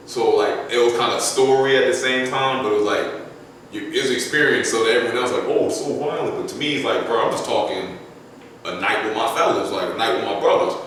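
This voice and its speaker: A young adult man, a rapper guest, talking casually and slangily on a podcast, his voice jumping up and getting loud when he gets animated. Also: a little fast. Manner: laid-back, slangy, climbs high and loud when animated. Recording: echoey room, distant mic, faint noise.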